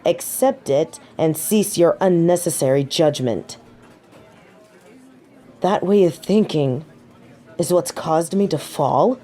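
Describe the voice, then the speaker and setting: A haughty female angel, cast from heaven , voiced by a woman, speaks in slow, measured phrases with a bright, crisp edge. Her voice sits a little low for a woman. She is pompous, formal and disdainful, as befits an ancient judge of souls in an audio drama. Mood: denial, anguish. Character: haughty, snobby fallen female angel of judgement, centuries old. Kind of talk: scripted audio roleplay drama.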